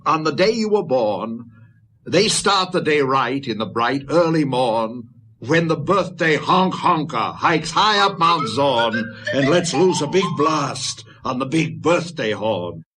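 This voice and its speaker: A man's voice: mid-range voice, animated, slow pace, sharp tone, a little hiss or hum. A man reading a children's rhyming story aloud in a lilting, playful way, in an old recording.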